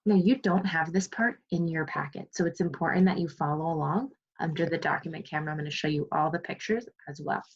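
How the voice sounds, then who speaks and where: Woman, primary teacher, low-pitched, leading an interactive read-aloud on a dull video-call line. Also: fairly quick, hardly any pauses, a little hiss or hum. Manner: instructive, question-led, praising pupils. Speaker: second grade teacher. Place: remote video class.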